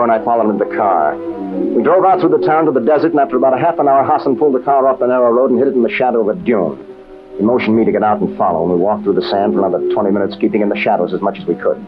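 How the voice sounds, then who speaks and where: An actor playing a wry, determined American adventurer in an old radio drama, with a hard-boiled, colloquial way of talking. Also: male voice, medium pitch, close to an everyday voice. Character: wry, determined American adventurer narrating his own story. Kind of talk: 1950s radio drama acting and narration. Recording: muffled, hissy old radio recording.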